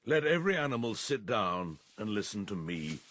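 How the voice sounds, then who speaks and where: An actor playing a stern, menacing pig who rules a farm as dictator, gruff and commanding, in a radio drama. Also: male voice, fairly low pitch, big pitch swings, moderate pace, fairly bright tone, no echo. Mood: coldly commanding.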